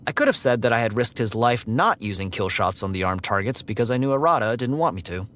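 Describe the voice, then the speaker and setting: An actor playing a guarded, socially averse security android who tells its story in a dry, sarcastic, deadpan way. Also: male voice, medium pitch, fast, a little hiss or hum. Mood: dry, matter-of-fact. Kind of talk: first-person audiobook narration and dialogue.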